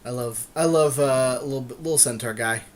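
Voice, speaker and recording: A man's voice: mid-range voice, fairly quick. A man co-hosting a podcast, giving casual, agreeable and conversational commentary on a card game draft. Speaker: podcast co-host.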